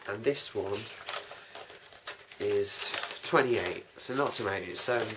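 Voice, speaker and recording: A man's voice: on the low side, slow pace. Man vlogging over noisy audio. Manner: informal, rambling. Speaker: host, writer and producer of a fan audio drama. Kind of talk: casual behind-the-scenes vlog talk. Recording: noisy amateur recording with clicks.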